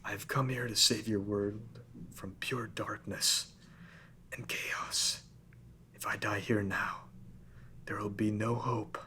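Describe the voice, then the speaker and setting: A man voicing a weak, dying magical spirit in a baby deer, hushed and pleading. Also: on the low side, level tone, slow pace, long pauses, slight background noise.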